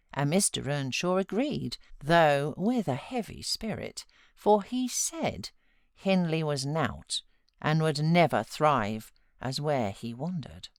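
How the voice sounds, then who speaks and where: A British woman narrating a classic novel as an audiobook reader, expressive and dramatic in her storytelling. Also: deep voice, no echo, clean studio sound. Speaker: audiobook narrator, voiceover artist.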